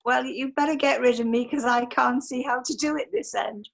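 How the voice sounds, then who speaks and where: Croaky woman on a video call. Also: fairly high pitch, normal speed. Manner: friendly, brief replies. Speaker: class participant.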